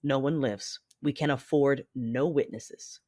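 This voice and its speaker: A woman playing a commanding, calculating warlord mother, giving measured first-person narration in an audio drama. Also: rumbling low, darkish tone. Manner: measured, imperious.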